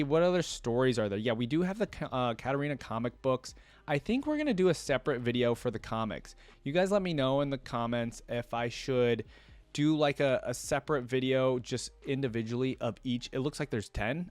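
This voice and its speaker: A man hosting a reaction video, reading aloud and commenting informally, thinking out loud and talking straight to viewers. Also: mid-range voice, dry recording.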